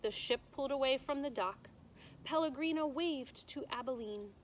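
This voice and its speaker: A woman's voice: sits high, moderate pace. A woman teacher reading a storybook aloud to children, with emphatic delivery and simple explanations. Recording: noticeable background noise.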